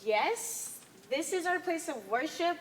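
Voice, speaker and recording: A woman's voice: extremely high pitch, animated, takes it slowly, exaggerated voice. A woman on stage playing a haughty, literal-minded member of a blue cult, puzzled and disdainful. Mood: serene. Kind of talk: amateur one-act stage play acting. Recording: faint noisy stage ambience.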